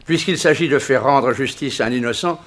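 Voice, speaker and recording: An actor playing a weary, kindly old judge in a 1960s French radio drama, measured and formal. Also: male voice, mid-range voice, close to an everyday voice. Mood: earnest. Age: older.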